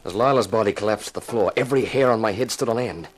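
A cool-headed, hard-boiled investigator played by a male actor with a lowish voice. He is wry and matter-of-fact, both narrating and acting in 1950s radio detective style. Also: quick pace, close to an everyday voice, rough recording. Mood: tense, narrating. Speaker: narrator and lead.

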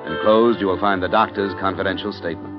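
An actor playing a hard-boiled, wry insurance investigator narrating a 1950s radio drama in a clipped manner. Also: male voice, sits low, fairly even intonation, fast, lightly acted. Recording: dull old radio recording with music.

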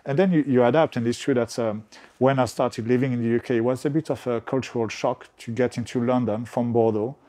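A man from France with a French accent, speaking in a podcast interview as a real estate agent, pausing often and restarting his sentences. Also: medium pitch, fairly even intonation, dark tone. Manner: halting, many restarts and fillers. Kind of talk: conversational interview.

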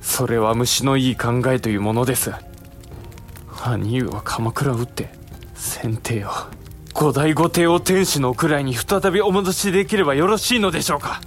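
An actor playing the earnest, outspoken younger brother of a samurai lord, in formal, polite archaic speech with music behind, in a period audio drama. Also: male voice, medium pitch, normal speed, natural voice. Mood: probing, reproachful.

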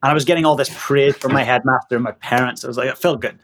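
A man with a Northern Irish accent tells his story in an interview, his pitch sitting mid-range for a man. He is in his thirties, energetic and anecdotal, and he laughs easily between his lines. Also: fast talker. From Northern Ireland. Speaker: guest, leadership coach.

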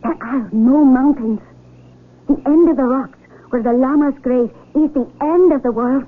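Innocent young village girl in a radio play, earnest, highish voice, tinny old broadcast. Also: unhurried. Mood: hurt, angry. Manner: earnest, simple formal diction. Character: sheltered blind young village woman, earnest, curious, quick to anger. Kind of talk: old-time radio drama acting.

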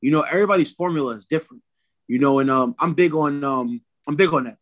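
A man's voice: on the high side, fast talker, no room sound. A man talking casually as an interview guest, using lots of slang and tags like "man" and "you know what I'm saying".

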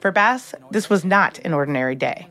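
A woman's voice: lowish voice, animated, brisk, a bit bright. A woman hosting a documentary podcast, reading scripted narration in a polished storytelling format.

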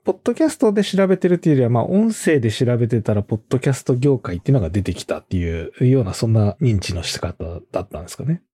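A man's voice: mid-range voice, animated, very fast, few pauses, dark tone, husky. A man hosting a podcast interview in a polite, casual way, with frequent short acknowledgements. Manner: frequent short backchannels while listening.

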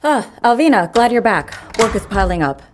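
An actress playing a brisk, demanding woman boss in an audio drama. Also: on the high side, very animated, a little hiss or hum. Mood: brisk, distracted.

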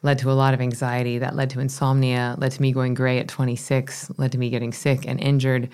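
Chatty woman with a smooth low voice. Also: a little fast. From Florida. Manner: animated, chatty, self-deprecating. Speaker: meditation teacher, guest. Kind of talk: casual interview storytelling.

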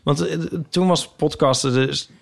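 A man's voice: a little high, a little slow, vocal fry. A man co-hosting a podcast, chatting casually and often searching for words. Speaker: podcast co-host. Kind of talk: casual chat.

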